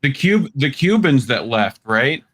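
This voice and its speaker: A man's voice: medium pitch, big pitch swings, unhurried, a bit bright. A man giving casual political commentary in a group chat, explaining his opinions. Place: online group call.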